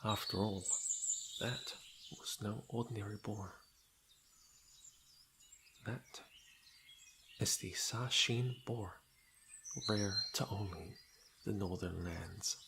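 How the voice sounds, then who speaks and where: Low, creaky, slow-talking teasing lord played by a man. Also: fairly even intonation. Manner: playful, breathy murmurs, chuckling, teasing. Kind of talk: intimate ASMR fantasy audio roleplay acting. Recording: clear background noise with birdsong effects. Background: birdsong and outdoor nature sound effects.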